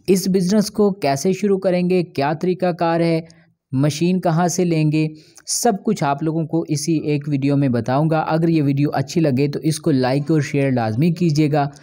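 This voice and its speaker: A man, hosting a business channel and narrating an explainer video as a voice-over, speaking directly to his viewers with frequent drawn-out filler sounds. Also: a little high, dark tone.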